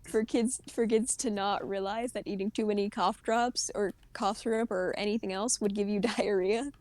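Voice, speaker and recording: A young woman streamer with a high voice, chatting casually and rambling, often giggling and restarting her sentences. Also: steady tone, normal speed, a little mellow, no echo. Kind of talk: casual gaming chat.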